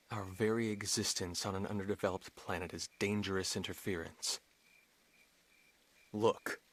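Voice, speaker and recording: Husky, brooding young hero. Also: male voice, sits low, fairly bright tone. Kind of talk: dramatic video game voice acting.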